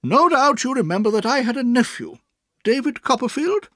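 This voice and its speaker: A stern old aunt with a high, cross, commanding voice. Her lines leap and swoop, and the storybook reading is dry and close. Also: sing-song, moderate pace, a bit bright, no echo, full character voice. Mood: firm. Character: strict, brisk grey-haired elderly aunt.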